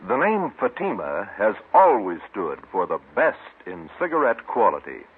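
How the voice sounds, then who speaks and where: Male commercial announcer, very animated intonation, emphatic and measured, on a muffled 1950s radio recording. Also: lowish voice, husky. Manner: emphatic, measured sales delivery. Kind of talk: 1950s radio cigarette advertisement read. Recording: muffled narrow-band old radio recording.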